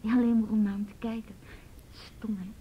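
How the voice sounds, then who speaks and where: A woman's voice: level tone, muffled recording, natural voice. A woman playing a hesitant, nervous teenage schoolgirl being questioned in a Dutch radio drama. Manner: hesitant, halting. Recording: noisy old radio drama recording, rumble.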